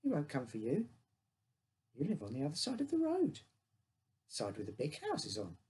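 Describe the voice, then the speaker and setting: A man voicing a knowing young girl from the council flats in a horror story, colloquial and slightly bitter. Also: on the high side, moderate pace. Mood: bitter, reassuring. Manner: colloquial, matter-of-fact, knowing. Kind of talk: acted dialogue in a read-aloud horror story.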